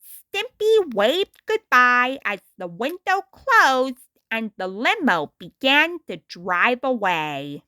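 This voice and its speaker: A woman reads a story aloud at a very slow, deliberate pace. Her voice is bright and swings widely in pitch with dramatic storybook phrasing. The recording is dry and close. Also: very animated, dry recording. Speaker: storytime narrator. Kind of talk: expressive scripted storybook narration.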